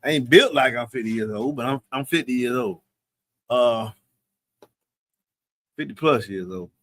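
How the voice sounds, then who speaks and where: A man's voice: mid-range voice, unhurried, fairly bright tone. A man in his fifties hosting a live Q&A in a loose, slangy, confident way. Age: 50s. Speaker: live stream host answering questions. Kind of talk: casual live Q&A chat.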